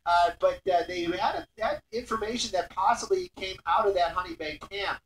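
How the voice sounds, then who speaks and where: A man giving live breaking-news commentary as a helicopter reporter, halting and repeating himself as he describes the scene. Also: high voice, a bit bright. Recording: roomy, distant airborne radio link, noisy.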